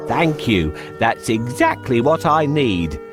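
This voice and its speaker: A man's voice: medium pitch, big pitch swings, takes it slowly, music in the background. A man voicing a clever, confident talking cat for a children's story, polite and persuasive in a theatrical way.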